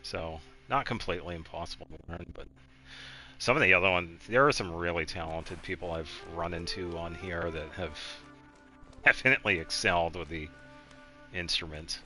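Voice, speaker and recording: Guy streaming a game, really deep but crisp forward voice, rambles along casually. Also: normal speed, pushed and bright, faint music behind. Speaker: game livestreamer. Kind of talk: casual chat while playing a game.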